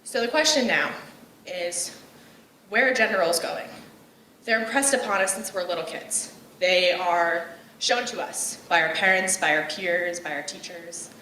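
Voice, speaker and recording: A woman's voice: on the low side, unhurried, a little hiss or hum. Young woman, bold and outgoing speaker, far-off mic, roomy echo. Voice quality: outgoing, loud and direct. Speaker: speaker giving a talk. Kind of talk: personal speech on gender roles. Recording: echoey room, voice from afar.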